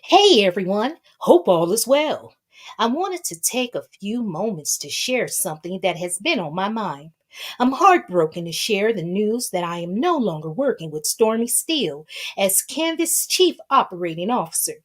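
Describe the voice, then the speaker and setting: Woman acting out old man, meek, soft, docile, earnest victim, dramatic reading of a statement. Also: mid-range voice, sing-song, moderate pace. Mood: meek, heartbroken.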